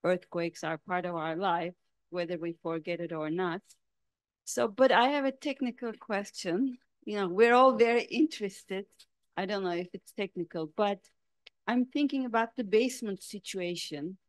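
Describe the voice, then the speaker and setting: A woman's voice: medium pitch, moderate pace. A woman moderating a talk and asking the speaker a question, hesitant, with fillers.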